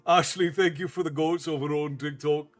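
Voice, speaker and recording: A man's voice: on the high side, steady tone, normal speed. Brief: man in his forties, Americanized Southern Irish accent, folksy stream host with faint music behind. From Ireland. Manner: folksy, thanks chat by name, calls viewers "love". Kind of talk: casual livestream chat.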